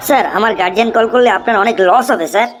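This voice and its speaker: A woman's voice: fairly high pitch, rapid-fire, lightly acted. A woman voicing a cheeky, mischievous schoolboy in a cartoon comedy, impudent and mock-polite. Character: cheeky mischievous schoolboy, teasing and smart-mouthed.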